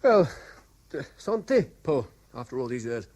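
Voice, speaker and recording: A man's voice: mid-range voice, very animated, a little slow, a little mellow. An actor playing an anxious, hesitant middle-aged French lawyer and former policeman in a radio drama, stammering and apologetic. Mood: nervously affable. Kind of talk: 1970s radio drama acting. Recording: hissy vintage radio broadcast.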